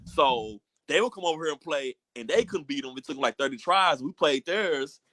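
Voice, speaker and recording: A bright, forward American male voice in a casual podcast conversation. He speaks as one of the hosts, and the sound is dry and close. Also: a little high, expressive, moderate pace, dry recording. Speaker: podcast host. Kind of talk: casual group podcast chat.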